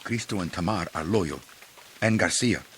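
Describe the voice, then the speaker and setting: An actor playing an impatient, proud rebel leader with a curt, commanding manner in a radio drama. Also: male voice, sits low, moderate pace, a bit bright, slight background noise. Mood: proud, insistent. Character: impatient, proud male rebel leader marching through jungle. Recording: clear insect and jungle ambience.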